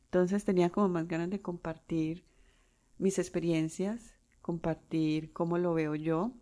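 A Colombian woman in her forties hosting her own podcast, talking unscripted with pauses and restarts. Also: fairly low pitch, fairly even intonation, mellow. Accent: Colombian Spanish. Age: 40s. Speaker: podcast host. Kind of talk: solo podcast monologue.

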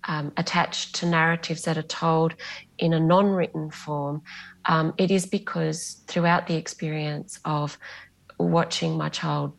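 A middle-aged Australian woman with a slightly low voice and a gravelly, fry-tinged edge. She talks as a novelist guest on a podcast, reflective and measured, her sentences unfolding at length. Greek was her first language. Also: level tone, rough recording. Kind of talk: podcast interview.

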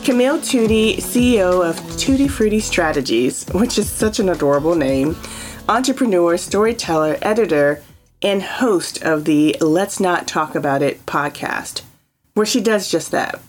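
Enthusiastic lower-pitched woman hosting. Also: animated, moderate pace, faint music behind. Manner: enthusiastic, laughs a lot. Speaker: interview host. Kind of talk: casual interview hosting.

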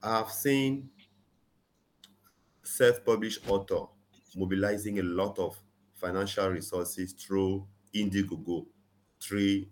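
Earnest low-voiced man, emphatic. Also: a little hiss or hum. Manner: earnest, frequent "you know", rising emphasis on key points. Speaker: guest. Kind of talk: conversational interview, advocacy.

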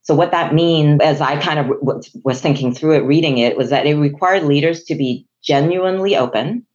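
A woman from Hong Kong whose first language is Chinese, a guest answering interview questions in a measured, businesslike way. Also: low-pitched, darkish tone, lo-fi sound. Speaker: guest, HR executive.

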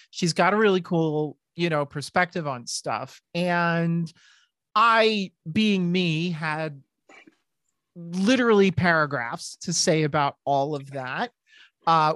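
A middle-aged woman hosting a podcast, talking in an animated, rambling way with laughs and restarts. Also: lowish voice, no echo, clean studio sound. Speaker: podcast host. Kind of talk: casual podcast chat.

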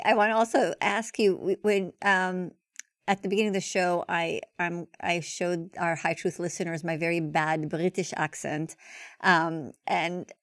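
A woman's voice: on the low side, fairly bright tone, no room sound. Chatty woman podcast host, dry sound. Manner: chatty, stammering restarts. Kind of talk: interview questions.

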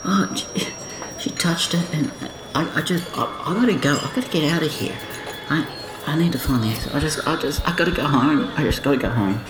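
A man's voice: fairly high pitch, natural voice. Man acting an anxious, stammering man in echoing noise. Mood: anxious, distressed. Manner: stammering, halting, repetitive, fretful. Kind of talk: first-person inner monologue in audio drama. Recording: noisy, echoing room sound.

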